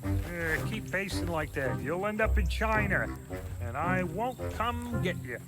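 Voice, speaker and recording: A man's voice: sits high, lively intonation, unhurried, over loud music. A man voicing a grumpy, sardonic creature in a children's cartoon, with a grouchy, sarcastic manner. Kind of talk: animated children's cartoon acting.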